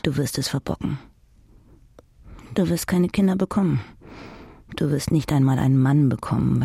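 A narrator reads literary prose with a creaky, husky voice. The delivery is dramatic and addressed straight to the listener, in a very dry recording. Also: mid-range voice, a little mellow, no room sound. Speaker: audiobook narrator. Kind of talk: literary second-person narration.

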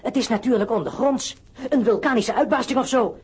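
A woman playing a plucky, excitable teenage boy adventurer in a radio play, exclaiming and stammering in surprise. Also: fairly high pitch, brisk, natural voice. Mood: startled, amazed. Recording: loud ambient effects noise throughout.

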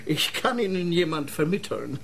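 An actor playing a slick lawyer in a radio play, emphatic and wheedling as a suspect husband. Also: male voice, fairly high pitch, very animated, moderate pace, gravelly, fairly bright tone, exaggerated voice. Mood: smooth, accusing. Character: slick, pleading lawyer husband protesting his innocence.

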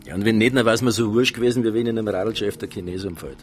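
An actor playing a slippery, self-important nightclub manager in a radio drama, cocky and evasive, with a Bavarian accent. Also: male voice, on the low side, fast, close to an everyday voice. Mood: dismissive. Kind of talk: naturalistic radio drama acting.

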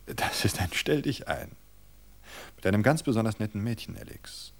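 A man's voice: lowish voice, animated, normal speed, creaky. A man reading aloud and voicing a stubborn, orderly husband of forty who lectures playfully. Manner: playful yet pedantic.

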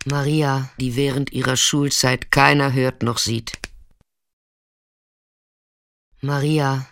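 An actress playing a detached narrator in a literary radio play, measured and incantatory with repeated phrases. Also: female voice, a bass voice, no echo. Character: detached narrator telling a girl's biography in terse refrains.

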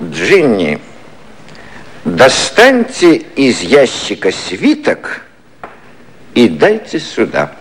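Casting: a sly, courteous prime minister for radio satire; slow, theatrical, wide-swinging delivery. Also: male voice, medium pitch, wildly swooping pitch, breathy edge, heavily acted. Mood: calm, sly. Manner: leisurely, ironic, theatrical phrasing. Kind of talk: satirical 1950s Soviet radio play acting.

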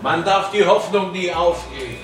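An actor playing a polite, sympathetic police inspector in a naturalistic radio drama. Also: male voice, sits high, moderate pace, over music, close to an everyday voice. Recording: echoey hospital corridor scene.

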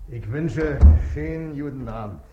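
A man's voice: medium pitch, mellow, slight background noise, natural voice. An actor playing a wily, grumbling boatman, haggling plaintively in 1950s German radio drama style. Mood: wary. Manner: plaintive, folksy, drawn-out haggling. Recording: dull, muffled 1950s radio recording.

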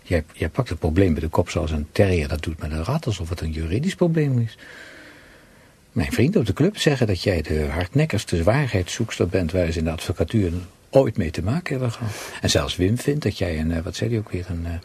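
Casting: needling, amorous husband for radio drama, low and very husky, halting, teasing delivery. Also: male voice, sing-song, a little mellow, heavily acted. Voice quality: husky, gravelly.

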